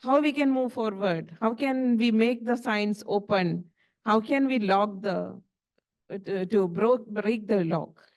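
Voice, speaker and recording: A woman's voice: medium pitch, unhurried, a little mellow, low-quality recording. A woman on a conference panel speaking earnestly about her university's work, with rhetorical questions and restarts. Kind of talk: panel discussion answer. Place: conference hall.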